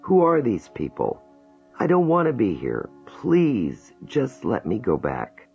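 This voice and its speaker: A man's voice: mid-range voice, huge pitch swings, takes it slowly, creaky, slightly rough, faint music behind, voice pushed up, full character voice. A man reading an audiobook voices a frightened woman in a hypnotic trance, pleading and scared. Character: terrified young woman reliving her birth. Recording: dull lo-fi recording.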